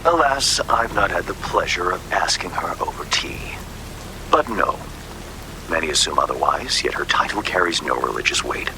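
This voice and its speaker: An actor playing an urbane, cagey fixer in a video game, with a breathy murmur and florid, wry, theatrical phrasing. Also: male voice, sits low, expressive, moderate pace. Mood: sly, measured. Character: urbane, cagey underworld fixer man with sly wit. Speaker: game character, underworld fixer. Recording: rain and ambient noise behind.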